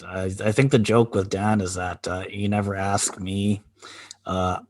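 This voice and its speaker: A man co-hosting a podcast, talking candidly in a halting, reflective way with many pauses and restarts. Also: on the low side, fairly even intonation, no room sound, studio quality. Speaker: podcast co-host. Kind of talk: candid conversational chat.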